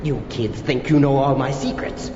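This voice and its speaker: An actor playing a gloating, prankish vampire count in a campy horror comedy record, with a hammy, drawn-out, gleefully sinister delivery. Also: male voice, medium pitch, over loud music, close to an everyday voice. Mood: gleeful menace. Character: gloating theatrical male vampire count, menacing prankish villain. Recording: muffled, echoey, noisy old flexi-disc record.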